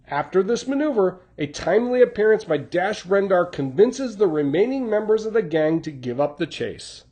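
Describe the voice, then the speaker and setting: A man's voice: high voice, warm tone, husky. A man reading scripted narration, recapping stories in the role of a channel host.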